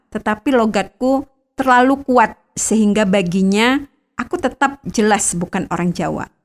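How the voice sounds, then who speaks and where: A middle-aged woman from Bangka, Indonesia, reading a scripted devotional reflection in a measured, earnest way, lifting the start of each new thought. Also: mid-range voice. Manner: measured, earnest reading with lifted phrase openings. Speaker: devotional narrator.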